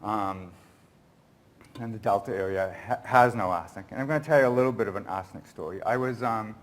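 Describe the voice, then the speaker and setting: A man's voice: fairly low pitch, fairly quick. A man speaking at a conference, answering an audience question in a rambling, earnest way, often restarting his sentences. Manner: rambling, self-correcting, earnest.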